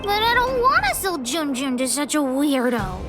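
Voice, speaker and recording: High-pitched, spirited little girl. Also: very animated, moderate pace. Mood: frank, wary. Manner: childlike, frank. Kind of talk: animated game voice acting. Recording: loud music behind the voice.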